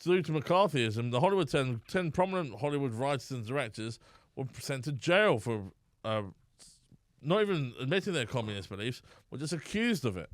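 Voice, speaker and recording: A man's voice: medium pitch, animated, brisk, no echo. A man co-hosting a podcast, arguing his point in casual banter, with frequent laughs and restarts. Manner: argumentative, laughs often, rambling restarts. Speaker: podcast co-host.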